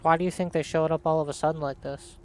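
A man narrating a let's play and reading the player's dialogue in a flat, matter-of-fact way. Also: fairly high pitch, quick pace, slight background noise. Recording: voice-over with clear game ambience.

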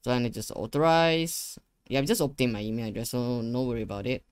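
A young man giving a casual software tutorial, talking himself through each step in an informal, rambling way. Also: mid-range voice, fast talker, smooth, no echo. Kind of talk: casual screen-share tutorial. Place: indoor living room gaming setup. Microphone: close desktop USB microphone.

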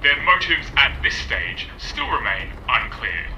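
A man's voice: medium pitch, very animated, a little slow, pushed and bright, heavily acted. A man playing a formal, serious newsreader in an audio drama. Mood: formal, grave. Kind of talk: news bulletin in audio drama. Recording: roomy broadcast sound, faint noise.

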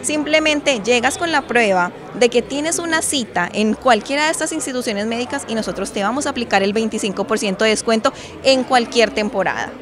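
A woman's voice: a little high, fairly quick. A woman in her thirties, an airline representative, giving an interview at a busy indoor expo with handheld mics and a promotional, fluent manner. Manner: fluent promotional explaining with fillers. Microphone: handheld reporter mics in frame. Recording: crowd chatter behind.

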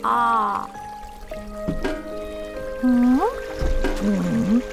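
A woman's voice: fairly high pitch, vocal fry, heavily acted. A woman playing a fussy, stubborn small child in a radio drama, blunt and whiny in short utterances. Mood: grumbling. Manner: slow, terse grunts and whiny complaints. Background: music behind the voice.

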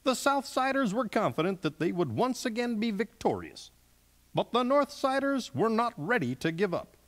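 A man's voice: high voice, big pitch swings, moderate pace. A man playing a grandly earnest puppet sports reporter, with dramatic announcer phrasing in a mock newscast. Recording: long hall reverb, music behind.

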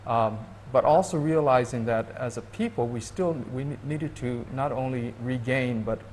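A man from Hawaii, a guest in an interview, speaking thoughtfully and haltingly with many restarts. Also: mid-range voice, darkish tone, a little hiss or hum. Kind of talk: reflective interview talk.

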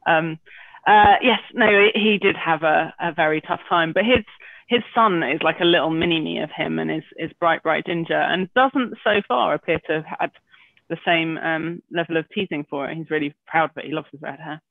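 Male host, high and gravelly, chatty and jokey, tinny phone-line sound. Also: normal speed, no room sound. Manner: chatty, self-deprecating humour. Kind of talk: casual hosting on a video call. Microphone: video call.

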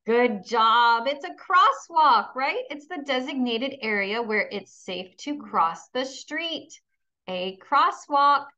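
A woman's voice: on the high side, animated, slow pace, pushed and bright, dull audio. A woman teaching kindergarten in a read-aloud lesson, encouraging and instructional as she addresses young pupils.

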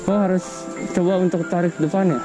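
A man's voice: sits high, level tone, fairly quick. A man hosting a fishing vlog on a river boat, talking urgently and repeating short instructions. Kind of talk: excited, urgent talk while landing a fish. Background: music.

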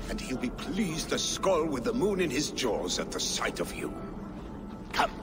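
An older actor playing a grave, loyal Norse messenger in a video game, with a solemn, deliberate manner. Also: male voice, medium pitch, close to an everyday voice. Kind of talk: video game cutscene acting. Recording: music behind the dialogue.

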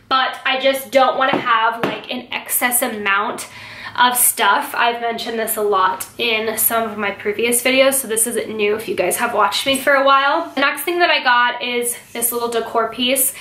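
A young American woman talks in a casual, chatty way, as if showing purchases to her online viewers. Her voice sits a little high and sounds very bright. The recording has a clear room echo from an indoor home space. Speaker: home decor YouTuber. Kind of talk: casual haul vlog chat to camera.

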